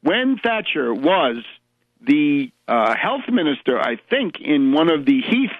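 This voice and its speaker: American man, fairly high voice, tinny narrowband dry audio, radio host, emphatic, erudite political commentary. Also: sing-song, no room sound. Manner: emphatic, erudite, deliberate phrasing. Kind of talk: polemical political radio monologue.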